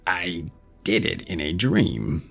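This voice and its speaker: A man doing the devil's calm old grandmother. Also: low voice, takes it slowly, vocal fry, heavily acted. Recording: faint music behind.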